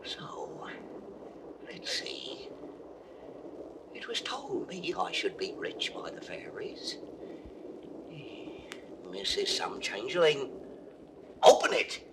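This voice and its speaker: An actor playing a kindly, wonderstruck old shepherd in a doddering, halting, exclamatory classical audio drama style. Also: male voice, a little high, huge pitch swings, full character voice. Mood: awed, curious. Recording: noisy vintage 1960s drama recording.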